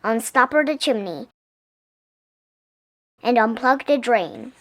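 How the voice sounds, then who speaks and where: A woman's voice: a little high, lively intonation, takes it slowly, a bit bright. A woman reading a picture book aloud expressively for young children. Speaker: storybook reader.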